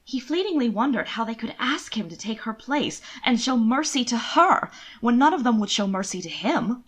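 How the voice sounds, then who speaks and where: A woman narrating a period romance story as an amateur audiobook reader, with expressive, scripted delivery. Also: on the high side, moderate pace, husky. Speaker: amateur fan fiction narrator.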